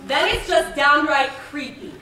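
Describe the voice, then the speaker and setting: A young woman playing a prim, mock-serious romance scientist in a comic stage lecture, projecting crisp, clinical declarations. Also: high-pitched, a little slow. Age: young adult. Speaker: stage actor presenting rules of rom-coms. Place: large indoor theater stage. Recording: echoey theater from far off, noisy audience.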